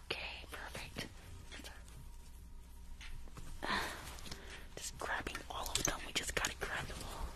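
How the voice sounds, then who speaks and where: A young woman playing a rushed, shady dentist in an ASMR roleplay, hurried and bossy, repeating herself in a breathy whisper. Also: lightly acted. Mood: impatient, bossy. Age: young adult. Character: rushed, shady female dentist stealing patients' teeth. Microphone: close microphone with earphones.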